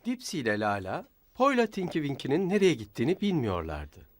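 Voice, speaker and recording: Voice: medium pitch, huge pitch swings, a little fast, background noise. An adult narrator telling a children's cartoon in simple, friendly storybook sentences. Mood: storytelling.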